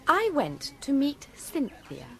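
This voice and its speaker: A woman's voice: sits high, huge pitch swings, slow pace, full character voice. An actress playing a spirited, sensible young English lady in a radio comedy, forthright and easily exasperated. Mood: stern.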